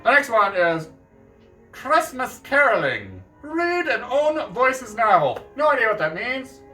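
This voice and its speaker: A man chatting casually to camera about books, animated and quick to jump up in excitement. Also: distant mic, rough recording. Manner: animated, jumps up in pitch with excitement. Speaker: book vlogger.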